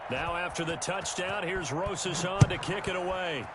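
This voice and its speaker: A man's voice: sits high, normal speed, natural voice. A man voicing a keen, polished play-by-play announcer in an American football video game, with an American accent. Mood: measured. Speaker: play-by-play sports commentator. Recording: very noisy gameplay capture.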